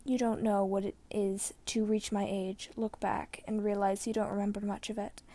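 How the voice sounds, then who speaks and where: Girl doing a weak old dying man, breathy n halting, sad wise storybook mentor, dry close sound. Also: mid-range voice, little pitch movement, mellow, dry recording, natural voice. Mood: weary grief. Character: frail dying old man, wise, grieving former warrior.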